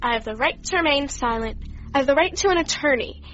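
High-pitched sassy young woman. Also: lively intonation, quick pace, a bit bright. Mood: sassy, defensive. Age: young adult. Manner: sassy, slangy, sarcastic. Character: flippant slangy young female witness, cocky and defensive. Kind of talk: audio drama acting. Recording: dull lo-fi audio with music underneath.

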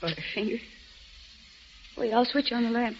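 A woman's voice: fairly high pitch, moderate pace, close to an everyday voice. A woman playing a composed, weary wife. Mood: tender. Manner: composed, quietly dry. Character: steady, weary young wife. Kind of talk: 1940s radio acting. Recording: dull old radio recording with light hiss.

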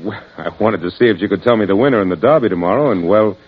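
An actor playing a scheming, desperate hypnotist villain with dramatic intensity, in melodramatic vintage radio style. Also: male voice, lowish voice, expressive, fast, slightly rough. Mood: smooth, evasive. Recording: dull old radio broadcast, loud music.

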